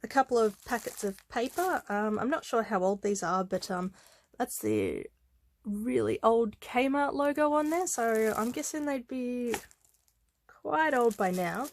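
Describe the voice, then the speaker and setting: A woman's voice: a little high, big pitch swings, slight background noise. A woman, a little congested from a head cold, casually showing craft supplies with plenty of ums and uhs. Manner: chatty, unhurried, with frequent ums and uhs. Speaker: craft vlogger. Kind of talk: casual show-and-tell vlog.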